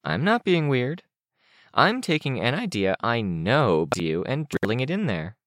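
A man's voice: mid-range voice, very animated, moderate pace, a bit bright, no echo, clean studio sound, voice pushed up. A man voicing a blunt, needling teenage girl who scolds and teases in an audiobook story. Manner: scolding, teasing.

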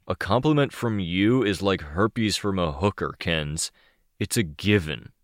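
A reader voicing a sneering, cruel teen boy with a slow, contemptuous drawl, in an audiobook. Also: deep voice, lively intonation, no echo, studio quality. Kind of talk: audiobook character voice.

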